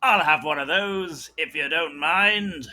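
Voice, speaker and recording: A man's voice: on the high side, slow, bright, forceful tone, heavily acted. A man playing a breezy, self-satisfied, glib action man in a comic audio drama.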